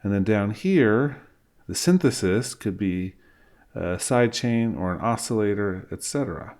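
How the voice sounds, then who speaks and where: A middle-aged man with a fairly low voice teaches in an informal tutorial style. He explains each step plainly, as an instructor recording at a desk in a small room. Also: lively intonation, a little slow, a little mellow. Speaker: music software instructor.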